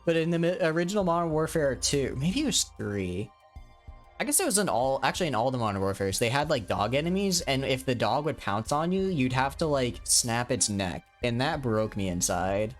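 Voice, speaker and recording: A man's voice: mid-range voice, sing-song, moderate pace, soft background music. A young man in his twenties, live-streaming a game and chatting casually, rambling with lots of restarts and fillers. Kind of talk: casual live-stream chat while gaming.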